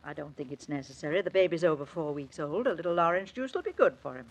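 Low, husky, unruffled mother. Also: expressive, fast, full character voice. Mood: unruffled, dry. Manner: dry, matter-of-fact, unruffled. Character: calm, dry, practical mother of grown daughter. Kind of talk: 1940s radio acting. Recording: muffled old 1940s radio recording.

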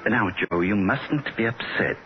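A man playing a small old alien in a 1960s radio drama, polite and calmly menacing, hesitating as he searches for words. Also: lowish voice, unhurried, music in the background, close to an everyday voice. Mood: mildly menacing. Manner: genteel, hesitant word-searching, matter-of-fact. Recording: dull, noisy old radio recording.

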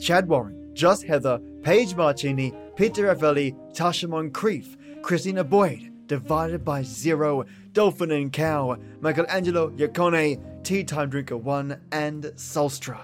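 A man presents a scripted podcast outro. His voice is a little high and his manner effusive and matey. Guitar music plays clearly behind him. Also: a little slow, hardly any pauses. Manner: effusive, chummy address to listeners. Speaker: podcast host. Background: plucked guitar music.

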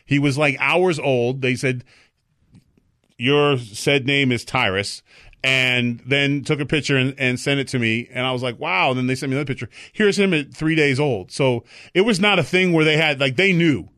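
A man co-hosting a talk show, chatting casually, joking and rambling, with sudden loud excited outbursts. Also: mid-range voice, moderate pace, dry recording, clean studio sound. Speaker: co-host.

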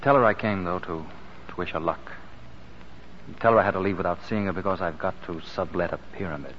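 Actor as flippant, regretful man, low voice, 1940s radio acting, muffled vintage sound. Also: fairly quick, natural voice. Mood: wry regret. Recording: muffled 1940s radio recording, faint noise.

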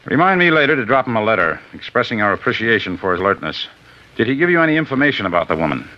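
An actor playing a brisk, commanding district attorney in a 1950s radio drama. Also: male voice, fairly low pitch, very animated. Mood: firm. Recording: dull hissy 1950s radio recording.

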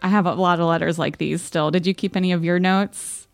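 A woman's voice: fairly low pitch, steady tone, brisk, vocal fry, dry recording, soft background music. A woman co-hosting a podcast in casual, reactive conversation. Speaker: podcast co-host. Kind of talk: casual chat, reacting to readings.

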